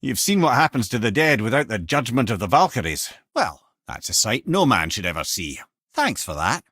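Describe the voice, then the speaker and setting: An actor with a Scottish accent playing a clever, talkative older sage in a video game, a wry and eloquent storyteller and guide. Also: male voice, mid-range voice, very animated, normal speed, a bit bright, husky, dry recording, clean studio sound. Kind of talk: in-game character dialogue and storytelling.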